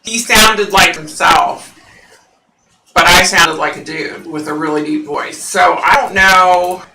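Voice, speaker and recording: A woman's voice: fairly low pitch, slow, pushed and bright. A woman with a scratchy voice, a psychic host chatting in a rambling way with viewers on a home livestream, full of fillers. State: scratchy, husky voice. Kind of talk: casual live-stream chat with viewers. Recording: roomy, distant from the mic.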